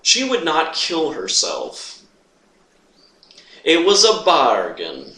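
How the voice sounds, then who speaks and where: A man playing a tormented, obsessive, lovesick Phantom in a drawn-out, theatrical stage monologue. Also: on the high side, wildly swooping pitch, pushed and bright, heavily acted. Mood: fervent, reverent. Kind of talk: dramatic monologue reading. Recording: roomy echo, distant microphone.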